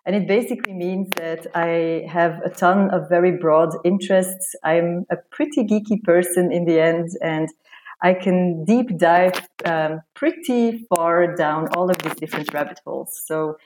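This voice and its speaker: A woman's voice: on the low side, steady tone, unhurried, darkish tone, a little hiss or hum, low-quality recording. A woman raised in Belgium, a guest on a podcast, talking in a friendly, chatty way with many fillers. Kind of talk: casual interview chat.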